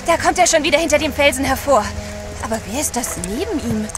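Spirited, self-possessed lady, higher-pitched. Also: expressive, fairly quick, over music. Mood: concerned. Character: spirited, self-possessed adventurous gentleman's wife. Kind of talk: German radio play acting.

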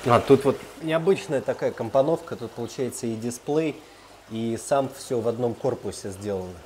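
A man's voice: mid-range voice, moderate pace. A man with a mid-range voice talks casually as he films a vlog. His commentary is unscripted and conversational. He is recorded on a handheld camera indoors, with faint water and room noise behind him. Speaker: vlogger filming a tour of private aquariums. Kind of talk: casual chat and off-the-cuff commentary. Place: indoor room full of large fish tanks.

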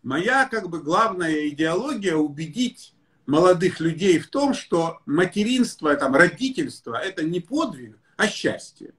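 A man in his sixties, a pediatrician hosting a live stream from his home study, talking in a warm, effusive, enthusiastic way. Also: on the high side, big pitch swings, normal speed. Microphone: webcam or phone on a video call.

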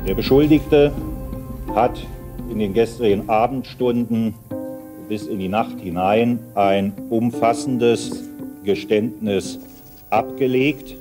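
Slow, low-voiced man giving official statement. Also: music in the background. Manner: halting, measured, official. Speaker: chief public prosecutor. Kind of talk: formal press statement. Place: press conference room. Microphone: cluster of table microphones.

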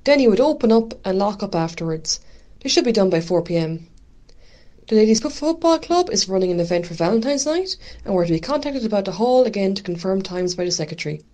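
A woman's voice: medium pitch, lively intonation, fast talker, dark tone, close to an everyday voice. A woman playing a dutiful, chatty Irish village committee secretary in an amateur radio drama.

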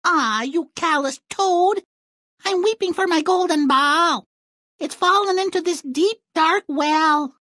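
A woman's voice: extremely high pitch, slow, sharp tone, no echo, studio quality, exaggerated voice. A woman voicing a spoiled, whiny, rather dim young princess in a fairy-tale video game, petulant and melodramatic. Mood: tearful, petulant.

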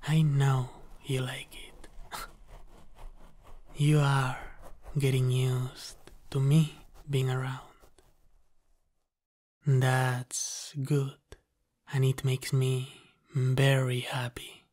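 A man voicing a flirty, dominant demon from the underworld in an intimate ASMR roleplay, soft, breathy and often half-whispered. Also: mid-range voice, completely flat delivery. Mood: flirty, coaxing. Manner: slow, teasing, dominant.

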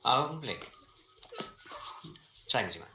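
An actor playing a seasoned, restless South Seas captain, measured and earnest, in a German radio play. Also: male voice, medium pitch, very animated, bright, forceful tone, a little hiss or hum, exaggerated voice. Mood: firm, calm. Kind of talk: German radio play narration, literary and scripted. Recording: roomy recording with music behind.

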